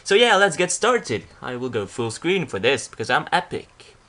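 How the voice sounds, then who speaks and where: A young man with a clear Flemish accent talks casually in English, his second language, with a bright, forward voice. He rambles, restarts his sentences and fills gaps with "uh" and "yeah", at a mid pitch. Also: big pitch swings, moderate pace. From Belgium. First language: Dutch. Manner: rambling with frequent restarts and fillers. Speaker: YouTuber. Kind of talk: casual vlog narrating a slideshow.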